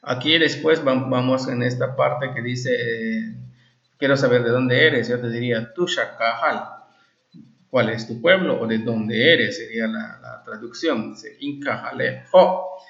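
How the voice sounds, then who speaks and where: A man gives an informal language lesson in a mid-range voice. He explains examples and translations step by step, often adding short check-in questions. The recording is dull and lo-fi, with clear room echo. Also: mid-range voice, unhurried, fairly bright tone. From Valladolid, Yucatán, Mexico. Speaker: Maya language teacher.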